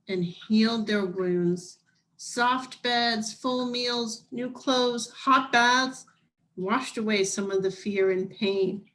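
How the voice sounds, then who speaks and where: A woman reading a children's picture book aloud as scripted narration, in deliberate, measured phrases with dramatic pauses. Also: medium pitch, fairly bright tone, slight background noise, rough recording. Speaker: storybook reader.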